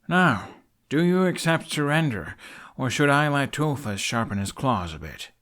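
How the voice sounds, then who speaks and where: Un hombre haciendo de un joven jinete de dragones, arrastrando las palabras. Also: male voice, high voice, a little slow, pitched above the performer's own voice, full character voice. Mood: wry. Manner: drawling, breathy. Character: young male dragon rider, earnest and tender.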